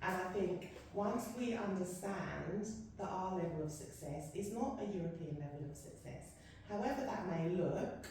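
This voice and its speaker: A woman in a casual discussion, earnestly building a steady argument. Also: fairly low pitch, normal speed, few pauses, recorded from a distance. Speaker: discussion participant. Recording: echoey room, off-mic, faint noise.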